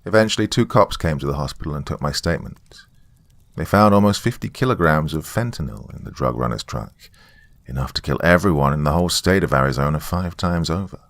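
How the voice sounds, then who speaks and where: Very deep-voiced man narrating a story. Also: expressive, brisk, creaky, dry recording. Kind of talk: dramatic first-person scripted narration.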